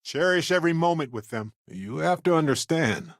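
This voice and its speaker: An actor playing an earnest, wry, talkative space explorer companion in a video game, speaking in a conversational, reflective way. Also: male voice, fairly high pitch, animated, normal speed, dry recording, studio quality. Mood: tender.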